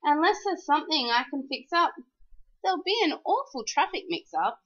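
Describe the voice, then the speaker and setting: Animated, high-pitched woman reading a storybook. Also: normal speed, lo-fi sound. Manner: animated, sing-song rhyming delivery. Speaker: storyteller reading a children's picture book aloud. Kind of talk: expressive children's storybook read-aloud.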